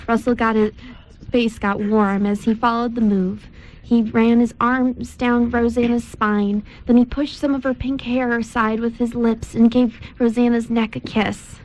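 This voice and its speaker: A woman narrating a scripted amateur radio play with careful, deliberate reading. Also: a little high, level tone, a little mellow, low-quality recording.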